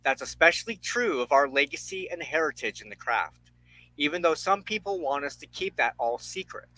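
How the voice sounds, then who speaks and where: Bright-voiced male podcast host. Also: on the high side, expressive, normal speed, dry recording, rough recording. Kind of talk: casual conversational interviewing.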